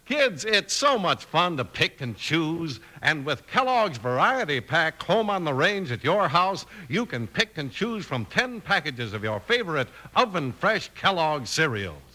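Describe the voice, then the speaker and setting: A man's voice: on the high side, big pitch swings, unhurried, few pauses, pushed and bright. An American man announcing in upbeat, punchy 1950s radio sales style.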